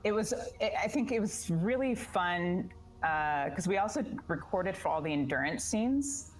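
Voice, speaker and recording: Low-pitched woman in an interview. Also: expressive, measured pace. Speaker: voice director and voice actor interviewee. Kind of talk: casual interview answers.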